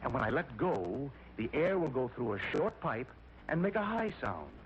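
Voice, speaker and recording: A man's voice: medium pitch, very animated, normal speed, natural voice. Man playing a thoughtful inventor, muffled vintage sound. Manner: musing, explanatory. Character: wise musician inventor, thoughtful and methodical. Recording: dull, noisy vintage cartoon soundtrack.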